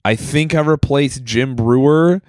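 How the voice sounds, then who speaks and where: A man comedian chatting on a podcast, his voice hoarse and crackly from overuse, talking loudly and swearing freely. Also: mid-range voice, takes it slowly, no echo, clean studio sound. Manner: loud, emphatic, swears freely. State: hoarse, recovering voice. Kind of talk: casual podcast chat.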